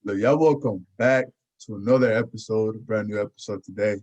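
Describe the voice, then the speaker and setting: A man's voice: fairly low pitch, brisk, darkish tone. A man hosting a podcast in casual chat, often repeating his phrases. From Houston area, United States. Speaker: podcast host. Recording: dull, lo-fi audio.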